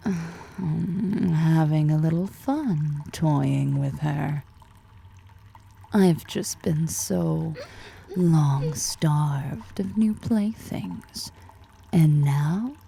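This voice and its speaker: A woman playing a seductive, powerful female drider in an ASMR roleplay, toying and sultry, murmuring with a breathy, husky edge. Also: low-pitched, dark tone, a little hiss or hum, full character voice. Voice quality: breathy, husky whisper-edged.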